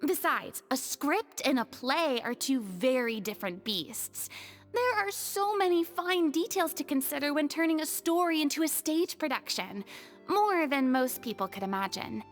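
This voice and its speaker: A woman's voice: sits high, expressive, moderate pace. A woman playing a playful, self-important young celebrity performer in a video game, with a dramatic, theatrical manner. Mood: breezy, reassuring. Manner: dramatic, flamboyant, with airy asides. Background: background music.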